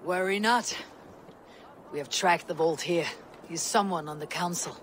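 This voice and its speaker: An actress playing a stern, determined young Viking warrior woman in a video game, with a husky voice. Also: lowish voice, measured pace, slight background noise, lightly acted. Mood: composed. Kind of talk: video game dialogue acting.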